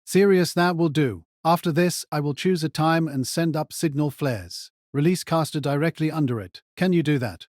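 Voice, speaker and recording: A man's voice: a little high, normal speed, hardly any pauses, darkish tone, no echo, clean studio sound, close to an everyday voice. Man acting a curt, commanding male strategist. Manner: terse, clipped, businesslike.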